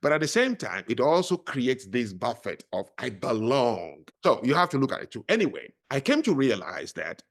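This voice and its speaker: A man's voice: medium pitch, normal speed, few pauses. A middle-aged man speaking as a podcast guest, telling stories and making points with emphasis. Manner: emphatic, animated storyteller. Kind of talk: conversational interview.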